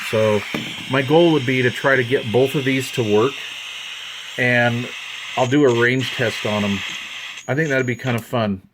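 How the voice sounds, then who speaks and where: A man's voice: medium pitch, a little slow, background noise. A man in his fifties with a Western American accent, chatting in a rambling, conversational way as he shows off a hobby find. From United States, Utah. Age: 50s. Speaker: hobbyist video host. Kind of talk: casual hands-on show-and-tell. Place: indoors at a wooden desk.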